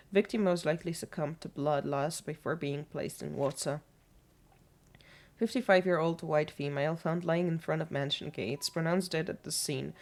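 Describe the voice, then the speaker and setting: A woman's voice: deep voice, gravelly. A woman with a mixed Polish and British accent that sounds American, streaming a game, thinking aloud and reading in-game notes with small slips. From Poland.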